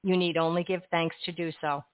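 A woman hosting a radio talk show and reading her sign-off in a polished, gently emphatic way. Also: on the low side, little pitch movement, brisk, no room sound, phone-quality sound.

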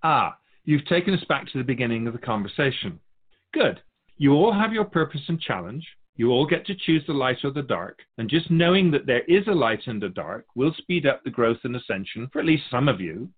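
Wise otherworldly soul guide, played by a man: patient, didactic, measured, gently chiding, expressive pitch movement, for spiritual radio dialogue. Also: breathy edge, narrow, tinny audio. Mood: gentle, encouraging.

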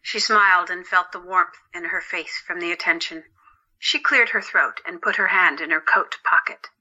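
Female story narrator: slightly low pitch, crisp bright edge, dulled recording, scripted delivery. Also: muffled recording.

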